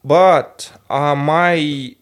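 Slow, hesitant man. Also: a little high, steady tone. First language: Russian. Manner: hesitant, searching for English words. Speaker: podcast co-host. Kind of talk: casual film discussion.

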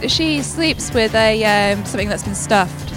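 A woman's voice: on the high side, expressive, moderate pace, rough recording. A woman comedian trading playful banter as a radio guest. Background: music.

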